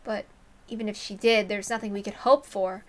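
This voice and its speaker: A woman narrating a visual novel aloud in an expressive style, with casual asides. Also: medium pitch, normal speed.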